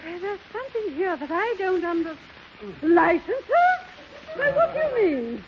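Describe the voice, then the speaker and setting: Haughty society matron, swooping pitch. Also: female voice, big pitch swings, a little slow, crowd noise, full character voice. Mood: affronted, flustered. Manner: pompous, formal, fluttery. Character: haughty, flustered high-society matron with a butler. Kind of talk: 1930s radio comedy acting. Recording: muffled, noisy 1930s radio recording.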